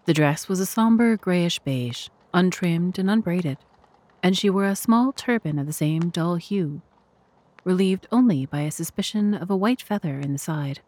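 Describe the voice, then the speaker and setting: A woman giving measured, formal narration of a scripted literary story. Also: fairly low pitch, expressive, warm tone, no echo.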